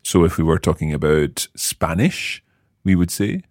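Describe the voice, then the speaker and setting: Deep-voiced man teaching, slightly husky. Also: no room sound, clean studio sound. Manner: patient, lively, repeats phrases slowly. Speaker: language teacher and host. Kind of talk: explaining and quizzing co-hosts.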